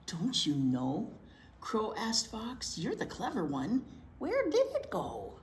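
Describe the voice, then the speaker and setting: A woman reading a picture book to young children in an expressive, chatty way, often asking them questions. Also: medium pitch, slow pace. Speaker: children's library teacher reading aloud. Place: indoor room at a library. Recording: roomy echo, noticeable background noise.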